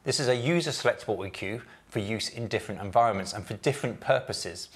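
A man in his thirties giving a methodical, scripted walkthrough of a product's menu settings, recorded in an office meeting room on a lapel mic. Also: on the low side. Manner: measured, methodical, technical explanations. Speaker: product presenter.

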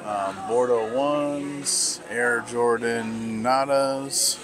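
A man vlogging casually as he browses sneaker stores in a mall, talking slowly and musing to himself with lots of fillers. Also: mid-range voice, steady tone, music in the background. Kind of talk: casual walk-through commentary.

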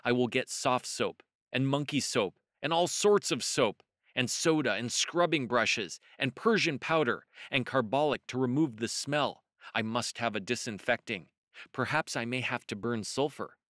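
A man voicing a fussy, vengeful male fox in a children's storybook, fastidious and emphatic. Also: medium pitch, sharp tone, no room sound, studio quality, lightly acted. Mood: gloating, fussy. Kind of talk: children's storybook read-aloud.

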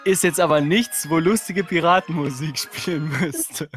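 A man's voice: fairly high pitch, moderate pace, fairly bright tone, soft background music. A man hosting a livestream, moving between casual chat and narration of horror stories. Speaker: livestream host and horror story reader.

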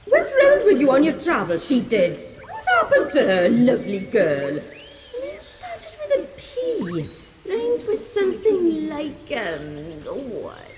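A woman voicing a jolly, scatterbrained giant female creature in an animated fantasy game, rambling along with big swoops. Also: high-pitched, slow pace, a crowd in the background, heavily acted. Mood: musing.

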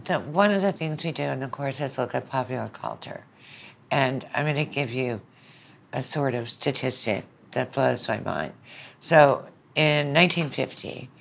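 A woman's voice: a bass voice, gravelly, a little hiss or hum. A woman lecturer speaking as a podcast guest, explaining things in a conversational way.

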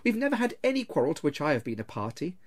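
Man voicing a bright-hearted, high-pitched cheerful nephew. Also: huge pitch swings, rapid-fire. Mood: warm, pleading. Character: cheerful, warm-hearted nephew, earnest and pleading. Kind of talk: dramatic storybook reading.

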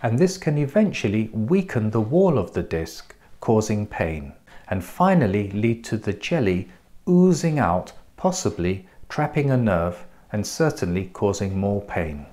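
A man in his forties presenting a clinic's treatment in a measured, explanatory scripted voice, recorded on a lapel mic in a bright treatment room. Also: on the low side, very animated. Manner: measured, explanatory, pointing things out.

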